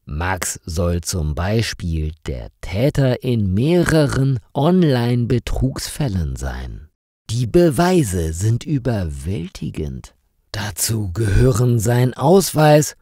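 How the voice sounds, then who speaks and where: A man reading a scripted story for language learners in a measured, carefully articulated storytelling manner. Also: mid-range voice, dark tone, no echo, studio quality. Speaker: narrator of stories for language learners.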